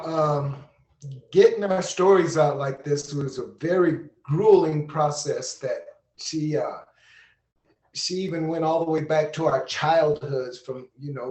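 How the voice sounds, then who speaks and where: In a very echoey room, a man speaks in a somewhat high voice. He gives earnest, reflective testimony, repeating words as he builds his thought. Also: a little mellow. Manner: earnest, deliberate repetitions. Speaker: monologuist on a panel.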